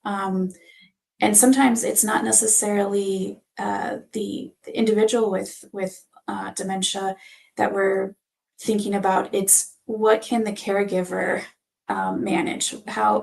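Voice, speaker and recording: A woman talks in a panel discussion with extremely creaky, fry-laden speech. Her pitch barely moves and her phrasing is measured and hesitant. She sits slightly away from the microphone. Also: flat delivery, far from the mic. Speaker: physician assistant guest.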